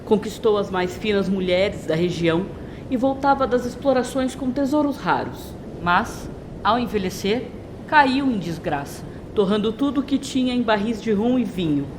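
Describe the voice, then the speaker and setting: A woman's voice: medium pitch, animated, normal speed, background noise. A woman narrator reading a scripted story in a dramatic storytelling style.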